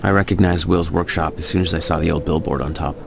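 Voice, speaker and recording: A grim, weary game hero looking back on what happened. The voice is very low and gravelly, with clear noise underneath. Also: male voice, fairly even intonation, quick pace. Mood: grim, reflective. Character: grim, weary male hero recounting past events. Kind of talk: scripted first-person game narration.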